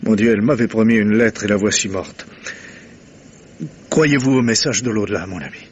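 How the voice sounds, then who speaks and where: An actor playing a troubled wealthy gentleman in a radio mystery, grave and formal, with a hesitant delivery. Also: on the low side, normal speed, dull audio, slight background noise, natural voice. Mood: anxious, grave. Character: troubled, solemn wealthy gentleman confiding in his doctor. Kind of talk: French radio drama acting.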